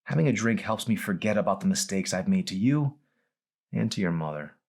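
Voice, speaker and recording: Man playing a low-voiced, broken, defensive father. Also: lively intonation, fairly quick, creaky, darkish tone. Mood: pained, honest. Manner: pleading, confessional. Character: weary, defensive, broken alcoholic father, middle-aged. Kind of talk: dramatised audiobook reading.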